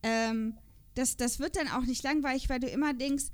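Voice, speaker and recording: A woman's voice: high voice, steady tone, moderate pace. A woman podcast host chatting casually in a talkative, rambling way. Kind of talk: casual chat.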